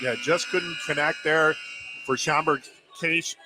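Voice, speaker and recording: A man's voice: a little high, fairly even intonation, unhurried, music in the background. A man giving conversational color analysis during a live basketball radio broadcast. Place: at a basketball game.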